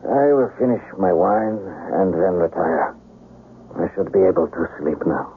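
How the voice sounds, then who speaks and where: An actor playing a melancholy, lovesick young samurai's son in a 1970s radio ghost tale, speaking formally and languidly. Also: male voice, low-pitched, lively intonation, moderate pace, breathy edge, full character voice. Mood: weary, brooding. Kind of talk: 1970s radio drama acting. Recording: noisy, tinny 1970s radio broadcast.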